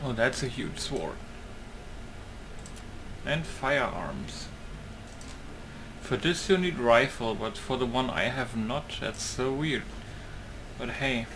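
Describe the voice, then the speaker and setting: A man giving a casual let's play commentary, thinking aloud in a halting way. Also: medium pitch, animated. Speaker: gaming YouTuber. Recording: clear game noise behind. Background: video game ambience.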